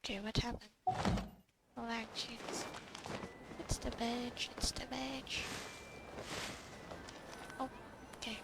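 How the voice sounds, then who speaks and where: A woman's voice: a little high, steady tone, slow, creaky, long pauses, very noisy. A young woman gamer reacting casually to gameplay in short, fragmented exclamations and mutters. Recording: loud game music behind.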